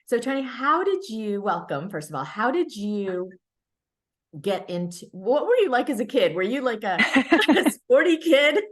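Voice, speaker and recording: A woman's voice: on the high side, sing-song, measured pace. A woman hosting a library talk over a video call, speaking hesitantly with frequent "um"s and restarts. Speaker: library program host.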